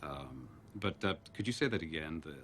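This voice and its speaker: An actor playing a folksy, self-important, flag-waving American TV host, rambling and sanctimonious in a film drama. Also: male voice, mid-range voice, expressive, brisk, a bit bright, a little hiss or hum, lightly acted. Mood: awkward, hesitant.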